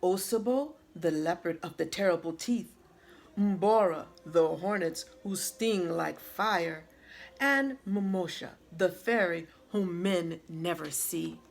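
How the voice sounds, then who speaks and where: A woman's voice: on the low side, slow, vocal fry. A woman voicing a clever little male spider trickster with a formal, declaiming manner in a storybook. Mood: respectful, proud.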